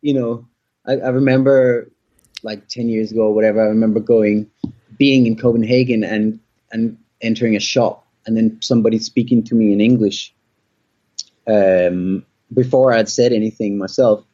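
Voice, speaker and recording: A man's voice: fairly low pitch, steady tone, normal speed, mellow. Man, from Denmark, rambling interview guest, lots of ums, lo-fi sound. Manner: rambling, many fillers and restarts. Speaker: guest musician. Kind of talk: casual interview chat. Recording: dull lo-fi audio.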